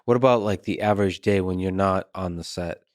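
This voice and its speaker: A man hosting a podcast interview, asking measured questions with frequent halting restarts. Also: low voice, no echo, clean studio sound. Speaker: podcast host. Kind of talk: interview conversation.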